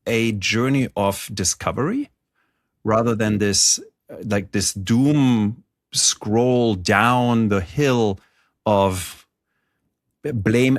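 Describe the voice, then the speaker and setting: Middle-aged German-accented male guest, husky low voice, dry close sound, chatty storyteller. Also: no room sound. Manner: animated storyteller, frequent "like" and restarts. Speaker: guest author. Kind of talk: conversational interview.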